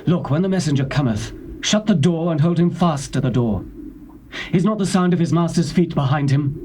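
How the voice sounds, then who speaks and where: An actor playing a stern, commanding prophet who speaks in a solemn, declamatory way. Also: male voice, a little high, moderate pace, mellow, natural voice. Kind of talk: dramatized scripture reading. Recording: faint tape hiss.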